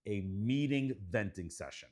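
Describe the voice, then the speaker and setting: A man's voice: fairly low pitch, expressive, unhurried, darkish tone. A man co-hosting a casual advice podcast, talking in a blunt, emphatic, conversational way. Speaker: podcast host.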